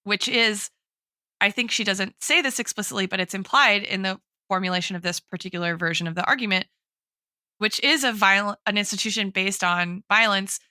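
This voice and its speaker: Woman, podcast co-host, bright forceful voice, clear, academic, analytical discussion, peppered with "like" and "right". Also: mid-range voice, normal speed, no room sound. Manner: academic, hedging with frequent "like" and "right".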